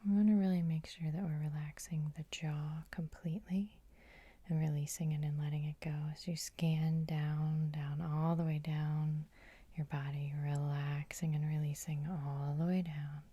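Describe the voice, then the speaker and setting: A woman hypnotherapist guiding sleep hypnosis in a soothing, unhurried, breathy ASMR whisper, close to the microphone. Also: deep voice, flat delivery, warm tone, dry recording.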